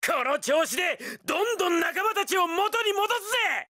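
A hot-blooded young fighter from a video game, brash and boisterous. His high voice is bright and forceful, recorded close and dry. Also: normal speed, dry recording, full character voice. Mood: fired up. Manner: rough, boisterous, energetic. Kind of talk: Japanese video game voice acting.

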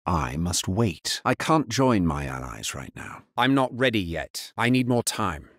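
An actor playing a grave, solemn necromancer hero in a fantasy video game, speaking in a measured, formal manner. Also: male voice, deep voice, very animated, dry recording, studio quality, exaggerated voice. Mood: grave, restrained. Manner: measured, somber, formal delivery. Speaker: video game player character. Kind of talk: scripted fantasy game voice lines.

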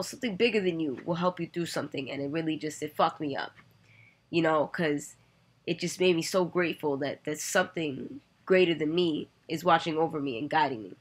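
Woman in her thirties, low voice, earnest and reflective vlogger, webcam in a bedroom. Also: a bit bright, slightly rough. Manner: earnest, reflective, with many "you know" fillers. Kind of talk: personal storytelling to camera. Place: bedroom, indoors. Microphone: webcam at desk distance.

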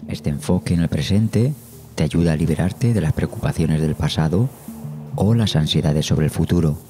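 A man narrating a guided meditation in Spanish as the guide, slowly and soothingly, with measured phrases and long pauses. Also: very deep voice, dark tone. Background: meditation music.

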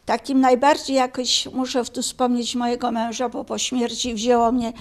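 An older woman talking informally in an interview, haltingly searching for her words. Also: a little high, flat delivery. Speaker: amateur painter being interviewed.

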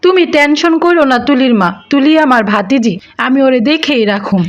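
Woman playing a high-voiced bossy cartoon mother. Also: moderate pace, highs cut off, lightly acted. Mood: reassuring. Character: bossy but caring mother and aunt. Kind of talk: Bangla comedy cartoon dubbing.

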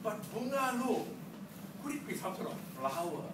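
Malaysian male club speaker, theatrical and very lively, higher-pitched voice, recorded from across a room. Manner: theatrical, deliberate pauses and emphatic delivery. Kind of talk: humorous prepared stage speech. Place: indoor club meeting room. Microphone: far camera. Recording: distant mic in echoey room, noisy.

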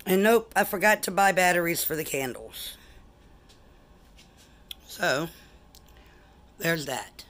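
A woman's voice: lowish voice, expressive, slow pace, pauses often, a bit bright. Chatty woman touring her table. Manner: chatty, walks the viewer through each dish. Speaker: collector showing a buffet table. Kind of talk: casual show-and-tell vlog. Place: home dining room, indoors. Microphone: handheld phone camera.

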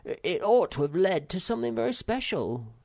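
A woman's voice: fairly low pitch, very animated, brisk, gravelly, mellow, no room sound, studio quality, voice pushed up. A woman voicing a cheerful, curious young male troll in a storybook reading, sounding eager.

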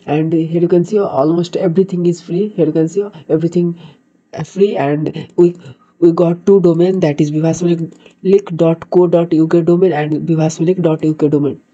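A man from Nepal with a Nepali accent narrates a screen tutorial haltingly, often repeating words. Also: on the high side, steady tone, mellow. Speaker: tutorial host. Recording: dull, muffled lo-fi microphone.

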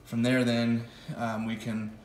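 A man's voice: medium pitch, monotone, a little slow, darkish tone, echoey room, slight background noise. A man casually talking through his motorcycle wiring in a home garage, thinking aloud with fillers and restarts, recorded close on a phone camera. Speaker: vlogger building an electric motorcycle. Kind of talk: casual workshop walkthrough.

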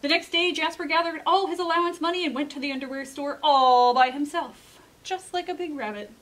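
A woman's voice: high voice, a little fast, a bit bright. A young woman working as a children's librarian, speaking theatrically and emphatically to young listeners. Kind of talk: dramatic storybook reading and chatty explaining to children. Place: children's library room, indoors.

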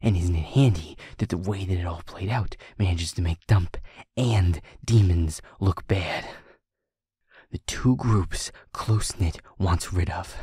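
A man playing a stubborn, hurting young werewolf boyfriend in an intimate audio roleplay, with a husky, breathy delivery that often drops near a whisper and sounds earnest and strained. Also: low-pitched, mellow, no room sound, studio quality, heavily acted. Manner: halting, earnest, emotionally strained.

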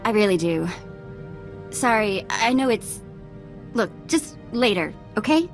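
A woman playing a young, self-doubting former slave and thief in a video game, talking in a halting, candid way. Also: mid-range voice, big pitch swings, soft background music. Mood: embarrassed, flustered.

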